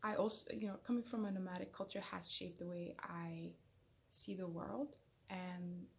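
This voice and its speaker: A woman's voice: medium pitch, creaky. A young woman from Somalia chatting to camera as a channel host, rambling and self-conscious with many fillers and restarts. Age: young adult. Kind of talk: casual chat to camera. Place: small indoor room. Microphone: camera in front, head and shoulders framing.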